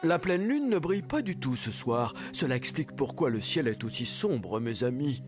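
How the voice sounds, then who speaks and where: A man's voice: medium pitch, sing-song, normal speed, over music, close to an everyday voice. A man playing a learned, worried dwarf leader in a children's cartoon, explaining things in a slightly fussy way. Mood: puzzled, concerned.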